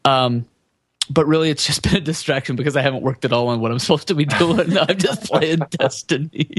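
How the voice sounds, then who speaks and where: A man's voice: on the high side, brisk, slightly rough, no room sound, studio quality. A young man hosting a casual podcast, talking in an upbeat, informal way. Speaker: podcast host. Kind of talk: casual podcast chat and news rundown.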